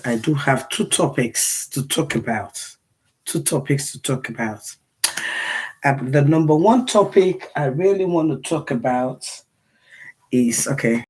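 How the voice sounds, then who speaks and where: A hoarse woman from Sierra Leone with a Sierra Leonean accent, talking casually on a livestream and repeating phrases for emphasis. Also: sits low. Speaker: livestream commentator.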